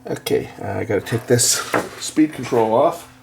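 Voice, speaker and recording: A man's voice: mid-range voice, a little slow, gravelly, noisy background. A man giving a casual, hands-on repair demonstration as a technician, explaining things in a matter-of-fact way. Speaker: electronics repair technician and video host.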